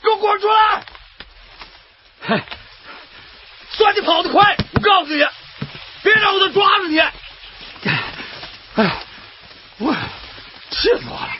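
A male actor playing an indignant, tender-hearted bird protector in a Chinese radio drama, excitable and exclamatory. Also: very high-pitched, takes it slowly, pauses often, slight background noise, heavily acted. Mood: exasperated. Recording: dull radio drama recording with loud music.

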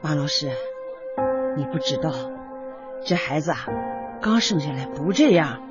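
A woman's voice: low-pitched, expressive, measured pace, lo-fi sound, loud music behind the voice. An actress playing a middle-aged, devoted, long-suffering working-class mother in a radio drama, with an earthy, emotional, fussing way of talking. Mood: tipsy, heartfelt.

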